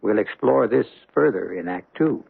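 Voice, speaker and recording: Male radio drama host, solemn storyteller. Gravelly texture, portentous phrasing, band-limited vintage broadcast sound. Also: on the low side, animated, normal speed, phone-quality sound. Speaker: host and narrator. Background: faint music.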